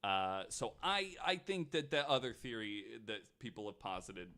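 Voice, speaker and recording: A man's voice: medium pitch, big pitch swings, normal speed, bright, forceful tone. A man hosting a podcast, telling a story in casual chat, reading quotes aloud with lots of fillers. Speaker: podcast host.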